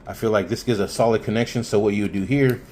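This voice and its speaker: A man with a fairly low voice gives a casual hands-on tutorial. He is plainspoken and informal, working out his explanation as he goes. There is some background noise on the recording. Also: steady tone, quick pace. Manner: informal, plainspoken, thinking aloud. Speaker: hobbyist installer giving a how-to.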